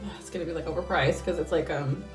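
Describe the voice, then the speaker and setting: A woman's voice: deep voice, steady tone, quick pace, music in the background. A young woman co-hosting a casual podcast, chatting in an informal, slangy way. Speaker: podcast co-host. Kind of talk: casual chat.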